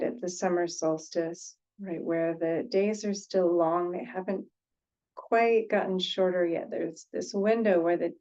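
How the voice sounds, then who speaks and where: A woman leading an unscripted guided meditation as a teacher, gently, with pauses, repeated phrases and sentences that trail off. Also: fairly low pitch, muffled recording.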